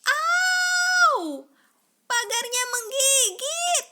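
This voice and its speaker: A woman voicing an excitable young monkey in a children's storybook, exclaiming in a shrill, urgent way. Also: drawn-out words, heavy background noise, full character voice. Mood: panicked, shrieking.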